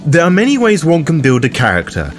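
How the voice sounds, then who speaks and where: Man narrating with lively, swooping pitch over music. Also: brisk. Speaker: host of a roleplaying game guide. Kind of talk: scripted narration.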